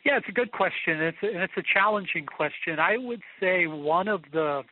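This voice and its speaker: A man giving measured, thoughtful explanations as a guest scholar on a radio show, pausing as he works through answers. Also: high-pitched, expressive. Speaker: guest philosopher and theologian. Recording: phone-like narrowband radio line.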